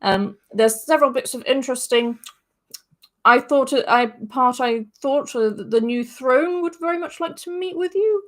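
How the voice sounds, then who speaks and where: A woman's voice: sits high, normal speed, voice pushed up, natural voice. A woman voicing a vain, chattering male blackbird messenger in a storybook reading, eager, fussy and pleased with himself. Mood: bustling. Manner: eager, fussy and self-satisfied.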